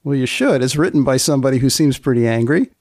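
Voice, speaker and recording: A man hosting a podcast with wry, mock-serious commentary, speaking in a deadpan, deliberately wordy way. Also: medium pitch, no room sound, studio quality. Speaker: podcast host. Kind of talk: wry scripted commentary with banter.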